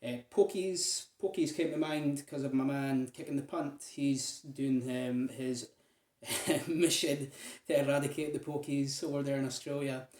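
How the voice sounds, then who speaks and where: A man's voice: medium pitch, steady tone, measured pace, a little mellow, echoey room. A Scottish man from Aberdeen talking casually to his viewers, rambling with lots of fillers. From Aberdeen, Scotland. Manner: chatty, rambling, many fillers. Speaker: vlogger. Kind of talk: casual monologue.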